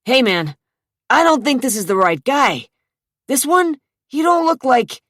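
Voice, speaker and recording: A woman voicing a gruff, swearing male thug in an audiobook. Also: medium pitch, very animated, takes it slowly, a bit bright, no room sound, clean studio sound, pitched above the performer's own voice. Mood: rough. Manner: coarse, swearing. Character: gruff male thug, a hired knife man.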